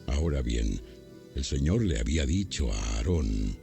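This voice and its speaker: A man's voice: extremely low pitch, expressive, a little slow, creaky, music in the background. A man narrating a dramatized Bible story from a script, as a storyteller between acted parts.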